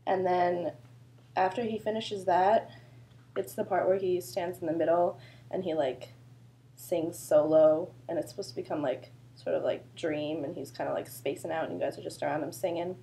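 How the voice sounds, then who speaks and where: A woman's voice: lowish voice, steady tone, normal speed, creaky, warm tone. A woman choreographer with a sore throat, explaining a dance routine in a chatty, loose way full of fillers. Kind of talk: casual dance instruction video.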